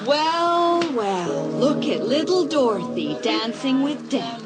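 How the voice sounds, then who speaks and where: High, taunting teenage party girl. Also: sing-song, slow pace, exaggerated voice. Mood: mocking. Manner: mocking, theatrical. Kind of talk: dramatised audiobook acting. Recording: loud music over the dialogue.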